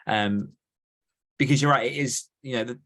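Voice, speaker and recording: A man's voice: medium pitch, normal speed, a bit bright. A man hosting a podcast, explaining things earnestly in casual conversation. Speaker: podcast host.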